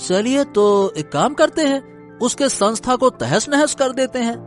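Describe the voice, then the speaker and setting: Man acting a high-pitched, deferential village underling. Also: animated, unhurried, faint music behind. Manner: deferential, hesitant, rustic. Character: timid, deferential village men: henchman and anxious farmer father. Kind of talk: Hindi cartoon story acting.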